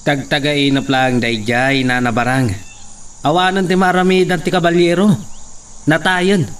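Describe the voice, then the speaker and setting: A man's voice: a little high, fairly even intonation, normal speed, a bit bright, clean tone, close to an everyday voice. A man acting as a blunt, dismissive man of the household in a radio drama.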